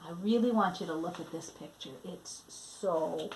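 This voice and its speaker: A woman reading a children's story aloud expressively, with an animated delivery, as if to listeners in front of her. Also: lowish voice, slight background noise, poor audio. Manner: animated, addresses listeners, pausing to show pictures. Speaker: storybook reader.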